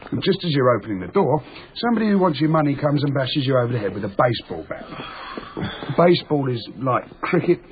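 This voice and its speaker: A man's voice: mid-range voice, big pitch swings, fast talker, close to an everyday voice. Actor as a rambling, earnest man, tinny noisy recording. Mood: earnest explaining. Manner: rambling, naive, matter-of-fact explaining. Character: rambling, earnest, simple modern working man explaining the world. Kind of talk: naturalistic radio drama dialogue. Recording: tinny lo-fi radio broadcast, noisy.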